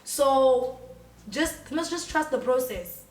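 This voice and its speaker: A young South African woman talks in a bubbly, outgoing way as an interview guest, laughing easily. Her voice sits a little high. She is recorded in an echoey room, some distance from the mic. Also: lively intonation, takes it slowly. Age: 20s. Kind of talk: casual interview chat.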